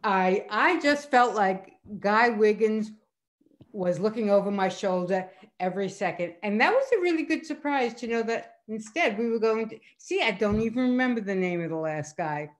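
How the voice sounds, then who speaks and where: A woman speaks as a guest judge at an online storytelling contest. Her voice sits at a middling pitch, and she gives conversational feedback over a video call. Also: expressive, moderate pace. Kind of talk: casual spoken feedback.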